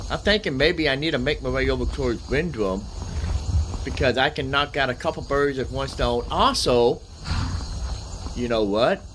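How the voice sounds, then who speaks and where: A man's voice: medium pitch, normal speed, background noise. A man giving casual gameplay commentary as a streamer, thinking aloud in a rambling way and often repeating himself. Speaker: gaming streamer.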